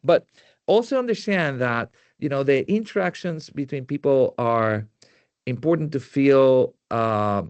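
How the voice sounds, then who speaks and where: A man with a Puerto Rican accent, a native Spanish speaker, giving a tech presentation in a halting way with many fillers and restarts. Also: mid-range voice, animated, smooth, no room sound, muffled recording. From Puerto Rico.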